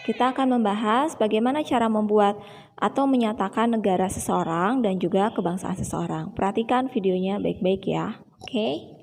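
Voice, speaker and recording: A young woman teaching English to primary school children, slowly and carefully repeating each example sentence. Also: mid-range voice, a little mellow, slight background noise. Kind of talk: teaching English in a video lesson. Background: background music.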